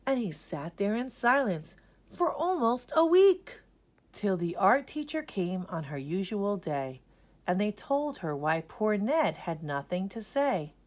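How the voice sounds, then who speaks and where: A woman reading a children's story aloud in a rhythmic, expressive way, with dramatic emphasis on key words. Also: medium pitch, a little slow.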